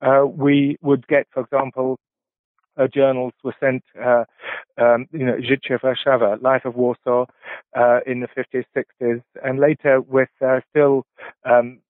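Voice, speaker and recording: Brief: professor in his 60s, Polish, halting and reflective, heard over a dry, tinny phone line. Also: mid-range voice, no echo. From England. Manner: halting, many filler sounds and restarts. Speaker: professor, interview guest. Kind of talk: reflective interview answers.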